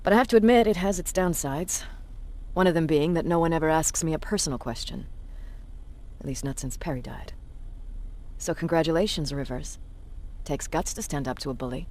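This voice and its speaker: An actress playing a cynical, blunt resistance commander in a video game, with a measured, sardonic delivery. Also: female voice, low-pitched, darkish tone, natural voice. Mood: cynical, probing. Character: hard, cynical female resistance military commander, self-declared bully.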